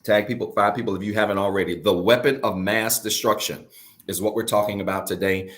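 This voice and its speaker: Raspy-voiced man preaching a livestream sermon. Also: fairly low pitch, steady tone, a little fast. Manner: repeats key points, urges viewers to comment. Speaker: pastor.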